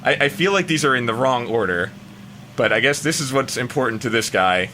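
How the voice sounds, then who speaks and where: A man's voice: medium pitch, animated, fairly quick, noisy background. A man giving a comic reading with joking, offhand asides. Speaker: comic reader.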